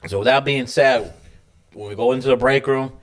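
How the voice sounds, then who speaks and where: A man co-hosting a podcast in loose, relaxed chat. He tells stories with animated energy, swears freely, and throws in slang, speaking in an ordinary mid-range voice. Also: mid-range voice, fairly quick. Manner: animated, profane storytelling. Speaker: podcast co-host; hospital medical worker.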